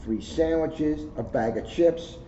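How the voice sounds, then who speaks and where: Male read-aloud storyteller, soft-edged mellow tone, recorded in a roomy space with muffled, noisy lo-fi sound. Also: fairly high pitch, takes it slowly. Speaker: police officer reading a children's book aloud. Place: indoors, second floor of a borough hall. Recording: roomy echo, dull lo-fi sound, clear noise.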